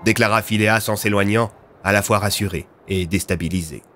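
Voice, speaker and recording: A man's voice: fairly low pitch, a little fast, sharp tone, faint music behind. A man narrating a scripted audio drama as a storyteller.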